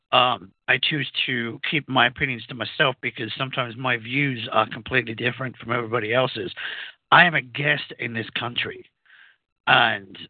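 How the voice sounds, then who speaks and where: A man from outside the US, co-hosting a talk radio show, speaking in a halting way with many fillers and restarts. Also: medium pitch, no room sound. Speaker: radio co-host. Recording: phone-like narrow sound, dry.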